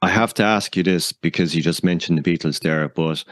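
A man's voice: deep voice, a little fast, a bit bright, no echo, clean studio sound. A man interviewing a guest on a podcast, asking conversational questions.

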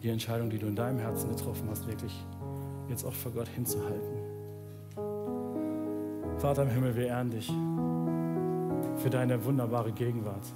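Man, 30s, pastor, earnest sermon and prayer, soft-then-pleading delivery, church stage, music underneath. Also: level tone, moderate pace, pauses often. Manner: earnest, swings between soft low passages and raised pleading. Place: large church stage with audience. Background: soft instrumental music.

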